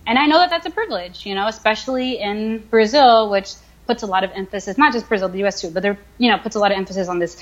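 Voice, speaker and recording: A woman's voice: medium pitch, quick pace, few pauses, dull audio. A young woman in her twenties from the United States, talking casually as an interview guest, with many fillers as she thinks aloud. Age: 20s. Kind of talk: casual conversation.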